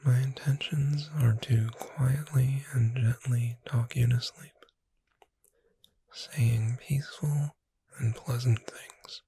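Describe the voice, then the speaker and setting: A performer voicing a gentle, reassuring witch bookseller in a breathy whisper, soothing the listener in an ASMR roleplay. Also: medium pitch, fairly even intonation, slight background noise, rough recording. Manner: slow, gentle, soothing, addressing the listener directly.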